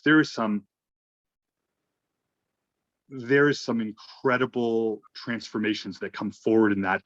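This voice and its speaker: A man's voice: fairly low pitch, a bit bright, no echo, muffled recording. A man in a reflective group conversation, talking earnestly and often restarting phrases as he searches for words.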